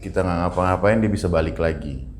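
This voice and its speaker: A man's voice: sits low, quick pace, darkish tone, a little hiss or hum. A man of twenty-nine, giving a casual personal account and explaining by repeating phrases. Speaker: interview guest.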